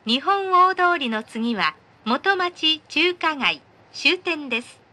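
A woman's voice: sits high, animated, slow pace, bright, forceful tone. A woman reading a scripted train announcement in a polite, formal, carefully enunciated manner.

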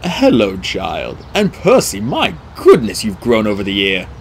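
A man voicing a wise, kindly middle-aged centaur teacher in an audiobook, speaking in a measured, formal, grave way. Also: on the low side, huge pitch swings, creaky, a little hiss or hum, pitched below the performer's own voice, full character voice. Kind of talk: audiobook character dialogue.

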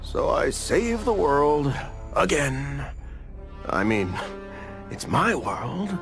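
Smug, wildly swooping American superhero. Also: male voice, fairly high pitch, big pitch swings, extremely slow, over music, full character voice. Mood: sarcastic, contemptuous. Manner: sarcastic, theatrical, self-pitying. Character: narcissistic male superhero, smug, petulant, menacing. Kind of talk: scripted video game ending monologue.

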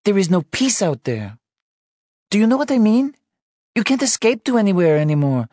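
A man reading an audiobook voices an anxious, tearful wife, raising his pitch well above his own and speaking in an emotional, pleading way. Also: normal speed, warm tone, dry recording, clean studio sound, close to an everyday voice. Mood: frightened.

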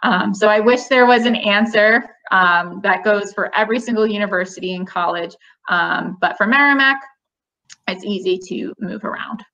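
Bright-voiced young woman on a video call. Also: medium pitch, normal speed, lo-fi sound. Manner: friendly, explanatory, many "um" fillers. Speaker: college admissions representative. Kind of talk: webinar Q&A answers. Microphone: video call webcam.

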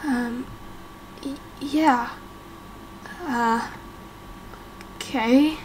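A frightened young boy, acted by a woman, high-pitched and slow. He stammers and pleads in a game roleplay, with noticeable noise behind him. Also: fairly even intonation, rough recording. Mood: confused, hesitant. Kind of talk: amateur game roleplay acting.

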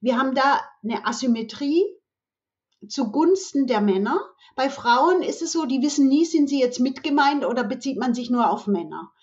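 A female academic with a fairly high voice explains things in an interview. She is didactic, building points through examples and rhetorical questions. The recording sounds a little dull. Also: animated, normal speed. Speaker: professor, expert guest.

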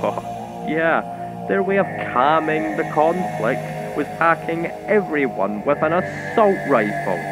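An actor playing a sardonic, wary space colonist with a dry, muttering manner in a sci-fi audio drama. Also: male voice, on the high side, very animated, measured pace, over loud music.

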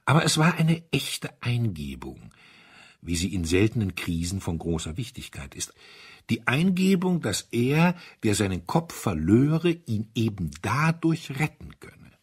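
Husky, expressive male audiobook narrator. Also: on the low side. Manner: measured, expressive storytelling. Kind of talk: scripted literary audiobook narration.

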